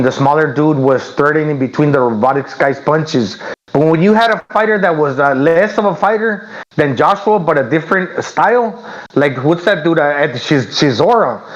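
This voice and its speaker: A man co-hosting a boxing podcast, talking loosely and halting, repeating words as he goes. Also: fairly high pitch. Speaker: podcast co-host. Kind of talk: casual boxing podcast chat. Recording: dull lo-fi remote line.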